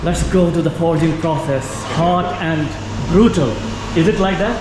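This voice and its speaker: A man from Pakistan vlogging a factory tour, talking casually and excitedly with hesitant restarts. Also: a little high, normal speed. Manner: excited, hesitant, repeats "all right". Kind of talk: casual on-camera chat. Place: loud factory floor. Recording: loud machine noise behind.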